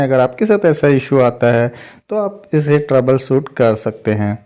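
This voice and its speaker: Indian male tech host, slightly high pitch, soft mellow tone, conversational explainer delivery aimed at viewers. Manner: conversational, addresses listeners as friends.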